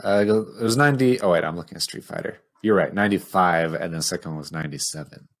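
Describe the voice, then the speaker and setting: A man with a low voice speaks as a podcast co-host. His delivery is informal and conversational, in the manner of a relaxed film discussion between two people. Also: quick pace, gravelly. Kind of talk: casual film podcast chat.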